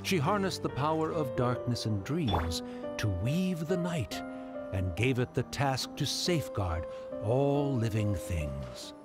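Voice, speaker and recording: Grandiose, expressive storybook narrator. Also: male voice, mid-range voice, slow pace, loud music behind the voice. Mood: solemn, reverent. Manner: stately, reverent, theatrical. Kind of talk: scripted storybook narration in a game.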